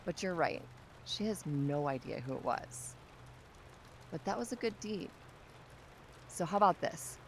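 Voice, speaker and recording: A woman's voice: lowish voice, lively intonation, measured pace, pauses often, a little hiss or hum. An actress playing a teasing, self-assured young woman, a protective friend, in an audio drama. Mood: teasing, reassuring. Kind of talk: audio drama acting, conversational.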